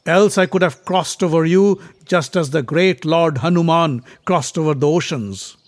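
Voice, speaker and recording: A man voicing a mighty, hot-tempered warrior prince in a storybook myth, declaiming loudly and indignantly. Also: mid-range voice, steady tone, normal speed, dry recording, natural voice. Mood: stern.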